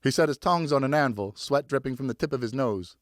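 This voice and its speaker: A man narrating a scripted literary story as a professional audiobook reader, expressive and carefully phrased. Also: medium pitch, fairly quick, no room sound, studio quality.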